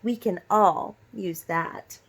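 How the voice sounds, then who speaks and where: A woman voicing a bossy, whiny little sister from a storybook, complaining loudly and at length. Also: mid-range voice, slow pace, slightly rough.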